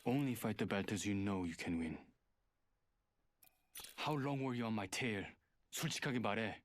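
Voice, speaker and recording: A man's voice: on the low side, no echo, lightly acted. An actor voicing a guarded, arrogant male hacker in a video game, speaking in a terse, serious, superior way. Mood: defiant, guarded. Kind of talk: video game character voice lines.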